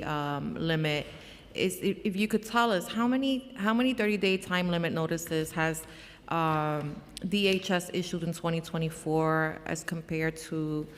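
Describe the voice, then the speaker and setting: A woman chairing a public hearing in a large council chamber, her voice a little scratchy, talking casually with restarts and fillers. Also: on the low side. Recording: hall reverb, faint room noise.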